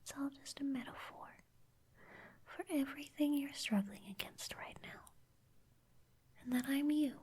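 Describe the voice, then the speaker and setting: A woman voicing a teasing but caring young cactus girl in an ASMR roleplay, soft and slightly husky, blunt yet encouraging. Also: sits high, steady tone, unhurried, pauses often. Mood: hushed, earnest.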